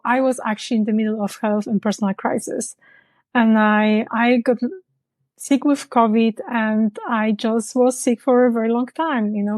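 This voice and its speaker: A woman from Poland, a business founder speaking as an interview guest in a reflective way, pausing to search for words. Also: a little high, darkish tone, no echo. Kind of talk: conversational interview.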